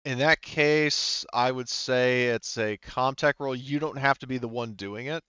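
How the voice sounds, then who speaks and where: A man's voice: medium pitch, measured pace, bright, forceful tone, clean tone, dry recording, dull audio. A man running a tabletop game as game master, improvising the narration casually in a rambling, hesitant way, thinking aloud.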